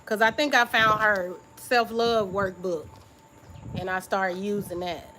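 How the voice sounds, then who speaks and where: Bright-voiced woman chatting reflectively. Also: medium pitch, measured pace, faint music behind. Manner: reflective, confiding, keeps checking in with "okay?"; relaxed informal speech. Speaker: YouTube creator. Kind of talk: casual live-stream chat.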